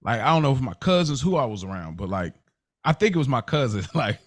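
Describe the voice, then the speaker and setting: An American man hosting a casual podcast discussion, telling stories in an animated way, acting out quotes and repeating phrases for emphasis. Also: medium pitch, fast, dry recording. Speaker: podcast host.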